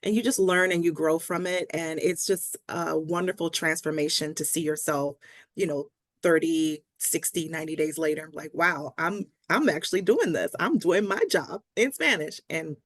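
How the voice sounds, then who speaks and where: A woman's voice: sits low, moderate pace, no echo, studio quality. A woman speaking as a language coach in a conversational pep talk, encouraging and emphatic, repeating key phrases for stress. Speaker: Spanish language coach, interview guest.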